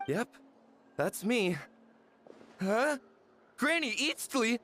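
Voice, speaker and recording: A man's voice: very high voice, big pitch swings, drawn-out words, a bit bright, a little hiss or hum, full character voice. A man playing a humble, apologetic townsman in a video game, polite and self-deprecating. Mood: startled, nervous. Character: humble, nervous, apologetic ordinary townsman from shaman family. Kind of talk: video game character acting. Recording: music behind the voice.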